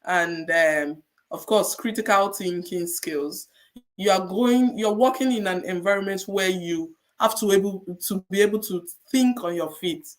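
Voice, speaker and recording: A woman with a Nigerian accent talks in a middle register, a nurse guest on a podcast. She gives advice in a measured, encouraging way and comes back to her main points so they stick. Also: medium pitch.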